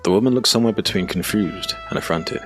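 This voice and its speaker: A man reading scripted dark fantasy narration in a measured, dramatic, gravelly voice. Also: on the low side, faint music behind. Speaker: audiobook narrator.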